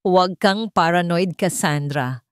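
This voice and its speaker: A woman's voice: on the low side, unhurried, smooth, dry recording, studio quality, close to an everyday voice. A woman voicing a proud young bride-to-be who questions her fiancé with suspicion. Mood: self-reassuring.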